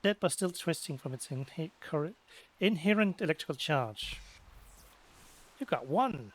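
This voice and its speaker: A man giving casual, unhurried and easygoing let's play commentary while gaming. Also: on the high side, lively intonation. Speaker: game streamer doing a let's play.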